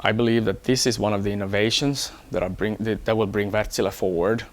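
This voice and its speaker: A man from Hong Kong, an engineer, talking about himself in a measured, explanatory way for an employee profile. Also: fairly low pitch, fairly even intonation. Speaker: electronics engineer. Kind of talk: first-person employee profile talk.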